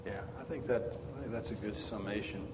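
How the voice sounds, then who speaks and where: A man's voice: fairly low pitch, a little fast. Man leading a group Bible study. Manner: teaching, draws out group with questions. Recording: roomy hall with background noise.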